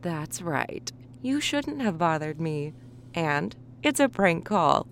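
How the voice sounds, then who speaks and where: A woman's voice: deep voice, sing-song, slow pace, slight background noise, full character voice. An actress playing a veteran woman police officer who coldly bullies a young coworker. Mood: menacing. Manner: smug, threatening, controlled.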